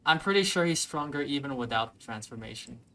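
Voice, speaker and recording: Casual mid-pitched man commenting on a game. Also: animated, quick pace, pushed and bright. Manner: speculative, thinking aloud. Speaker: let's play streamer. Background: game music.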